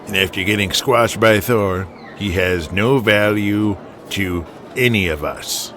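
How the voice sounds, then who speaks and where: A man voicing an older, authoritative wrestling alliance president in an audio drama, weary, measured and deliberate. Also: on the low side, fairly bright tone, a little hiss or hum. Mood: firm.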